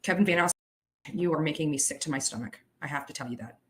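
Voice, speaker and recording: A man's voice: a little high, quick pace, a bit bright. A man delivering an angry, indignant rant addressed straight at someone, scolding emphatically.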